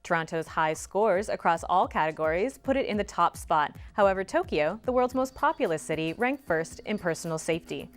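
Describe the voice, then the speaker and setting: A young female news anchor with a fairly low voice delivers the headlines in a crisp, measured newsreader cadence. She is in a campus newsroom studio, and soft music sits faintly under her voice. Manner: crisp, even newscaster delivery. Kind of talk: scripted news reading.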